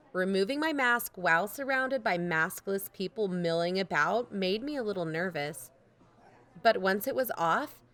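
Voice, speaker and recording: A woman's voice: medium pitch, a bit bright, close to an everyday voice. An actress playing a wary, candid young woman who narrates and talks in an audio drama in a conversational way. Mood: wary, reflective. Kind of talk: first-person audio drama narration and dialogue.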